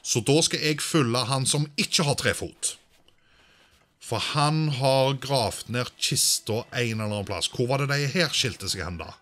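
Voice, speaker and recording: A man's voice: mid-range voice, fairly bright tone. A man doing casual let's-play commentary, thinking aloud and sprinkling in English exclamations. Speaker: gaming video host.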